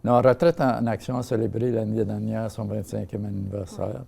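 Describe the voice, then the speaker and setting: An older man from Ottawa with a Franco-Ontarian French accent, speaking as an interview guest and pausing often with fillers and restarts. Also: lowish voice, flat delivery, vocal fry, dark tone, breathy edge. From Ottawa, Canada. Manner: hesitant, many fillers and restarts. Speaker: guest, seniors' association president. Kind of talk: casual interview talk.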